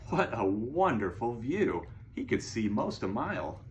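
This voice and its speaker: Man reading aloud with lively intonation. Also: medium pitch, unhurried, slight background noise. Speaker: children's storybook reader. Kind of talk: expressive read-aloud of a rhyming children's story.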